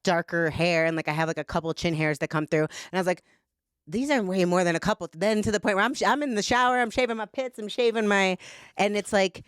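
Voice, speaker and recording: A woman's voice: medium pitch, fast, clean tone, no room sound, clean studio sound. A woman guest on a podcast, speaking frankly and casually, swearing freely and filling her talk with "like". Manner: blunt, profane, talks with lots of "like". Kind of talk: candid casual conversation.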